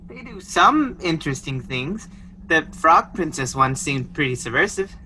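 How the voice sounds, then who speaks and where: A woman's voice: sits low, expressive, unhurried, bright, forceful tone, slight background noise, heavily acted. A woman playing an earnest, cheerful, plucky quest companion in a radio play, polite and resolute.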